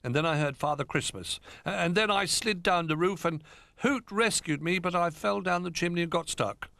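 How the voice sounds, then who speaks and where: A man's voice: high-pitched, normal speed, gravelly, bright, forceful tone, pitched above the performer's own voice, heavily acted. A man voicing a small, timid young teddy bear who talks in breathless, whispery bursts. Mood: rueful.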